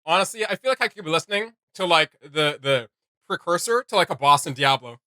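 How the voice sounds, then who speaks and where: A man's voice: a little high, expressive, fairly quick, sharp tone, a little hiss or hum. A man giving enthusiastic, slangy reaction commentary as a casual video host.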